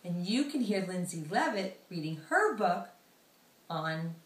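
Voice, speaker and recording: A woman, an author reading her picture book aloud in an animated storytelling style. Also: fairly low pitch, measured pace. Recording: echoey room, distant microphone.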